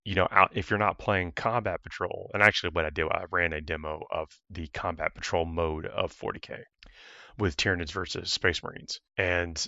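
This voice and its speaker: A man hosts a casual podcast in a deep, hoarse voice with a forceful, bright edge. He rambles with many restarts and fillers. The sound is dry and slightly dull. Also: steady tone, moderate pace, no echo. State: hoarse, ragged voice. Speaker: podcast host.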